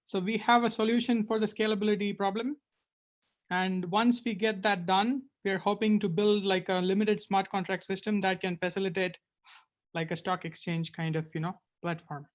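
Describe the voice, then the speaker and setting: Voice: mid-range voice, flat delivery, normal speed. Casual panelist speaking over a video call. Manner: casual, with fillers like "you know". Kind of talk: online panel introduction.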